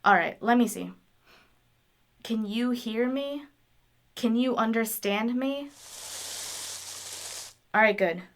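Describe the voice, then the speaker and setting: A calm, practical wanderer played by a woman speaks slowly and dryly. The delivery is measured and deadpan, helpful in tone, as in audio drama dialogue. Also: on the high side, a little hiss or hum.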